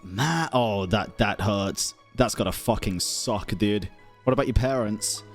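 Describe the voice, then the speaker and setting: A man's voice: fairly low pitch, measured pace, music in the background. A man giving casual, reactive, sweary live commentary as he plays a video game.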